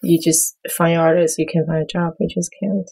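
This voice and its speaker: A middle-aged woman from Taiwan, a small business owner being interviewed, who speaks in a measured, halting way and gives short answers. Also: lowish voice, flat delivery, vocal fry, mellow, slightly rough, poor audio. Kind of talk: interview conversation.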